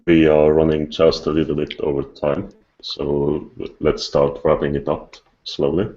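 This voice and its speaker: A man's voice: a bass voice, little pitch movement, unhurried, dark tone, highs cut off. A man hosting a podcast, casually moderating the discussion. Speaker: podcast host.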